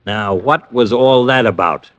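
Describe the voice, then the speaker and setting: An actor playing a matter-of-fact, skeptical police lieutenant in an old radio drama, on a noisy recording. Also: male voice, fairly low pitch, measured pace, dull audio, natural voice. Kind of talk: 1950s radio detective drama acting.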